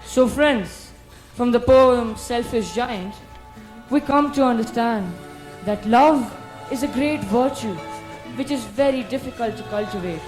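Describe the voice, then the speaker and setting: School play narrator, mid-pitched voice, scripted delivery, faint music underneath. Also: unhurried, a little mellow, rough recording. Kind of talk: scripted stage narration with a moral.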